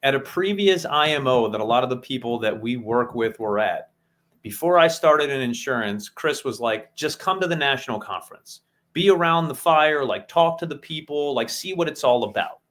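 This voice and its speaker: A man talking on a casual business podcast. He lays out plans methodically, one step after another. His voice is pitched in the middle of the male range. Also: animated, normal speed, a bit bright. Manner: methodical, breaks goals down step by step. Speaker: podcast co-host.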